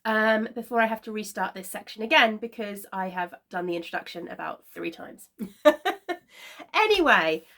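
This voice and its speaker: A woman in her thirties hosting a knitting podcast, chatting casually to camera from her sofa at home, slightly congested from a cold and filling pauses with frequent ums. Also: mid-range voice, lively intonation, sharp tone. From Scotland. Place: living room at home, seated on a sofa.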